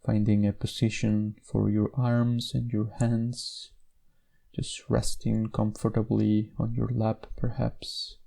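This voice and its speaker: Low, mellow man guiding a meditation. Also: completely flat delivery, vocal fry. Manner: measured instructional delivery with gentle pauses. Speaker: meditation guide. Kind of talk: guided meditation narration.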